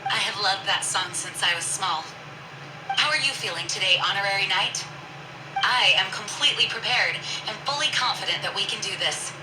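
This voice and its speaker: A woman's voice: medium pitch, expressive, moderate pace, background noise, close to an everyday voice. A woman playing an earnest, composed female knight commander in an anime fantasy game, formal and resolute.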